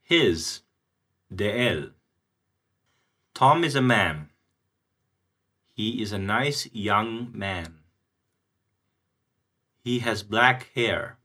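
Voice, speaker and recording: A man teaching a language lesson, explaining grammar and reading example sentences in a very slow, deliberate, clearly enunciated way. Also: lowish voice, lively intonation, pushed and bright. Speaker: English teacher.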